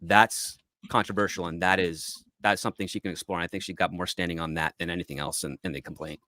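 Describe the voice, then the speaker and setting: A man's voice: low-pitched, fairly even intonation, fast talker, bright, forceful tone, no echo, clean studio sound. A man giving casual, opinionated commentary as a panelist in a discussion.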